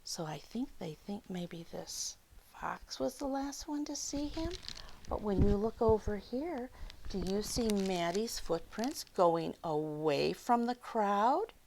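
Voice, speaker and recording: A woman reading a picture book aloud to young children, expressive and dramatic, with questions and hushed asides to the listeners. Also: medium pitch, slow, slight background noise, low-quality recording. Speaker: children's storyteller.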